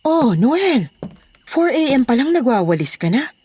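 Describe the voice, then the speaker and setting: A woman's voice: sits high, very animated, a little slow, darkish tone, a little hiss or hum. A woman with a Filipino accent playing a protective, bossy daughter running a household in a horror audio drama. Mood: calling out.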